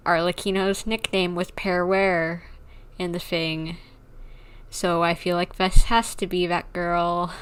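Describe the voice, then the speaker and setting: A young woman livestreaming a video game, chatting casually in a halting, rambling, informal way. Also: mid-range voice, clean tone.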